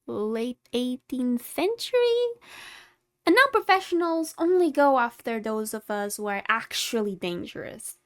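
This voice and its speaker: A woman playing a haughty, secretly caring tsundere vampire in a scripted ASMR roleplay, condescending and easily exasperated. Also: sits high. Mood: lecturing. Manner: condescending, exasperated, flustered scolding with sighs.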